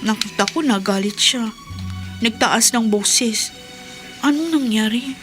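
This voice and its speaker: A woman's voice: on the high side, slow pace. An actress playing a curious, worried young woman in a radio drama, asking questions. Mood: concerned. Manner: inquisitive, worried. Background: background music.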